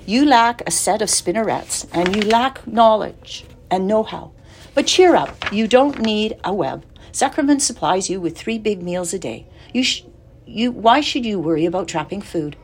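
A woman voicing a wise, calm female spider with a gentle, patient, matter-of-fact manner. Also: mid-range voice, moderate pace, a little hiss or hum, pitched above the performer's own voice, natural voice.

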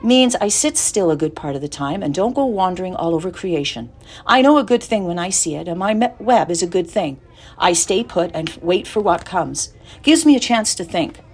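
A woman voicing a wise, calm female spider with a gentle, patient, matter-of-fact manner. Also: fairly low pitch, moderate pace, slightly rough. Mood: musing.

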